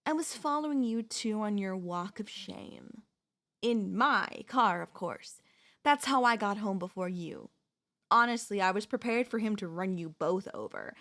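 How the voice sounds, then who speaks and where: A woman playing a possessive, manipulative wife in an ASMR audio roleplay, speaking to the listener in a sweetly condescending, deliberate, theatrical way. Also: mid-range voice, animated, fairly bright tone. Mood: smug, chilling calm. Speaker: voice actress.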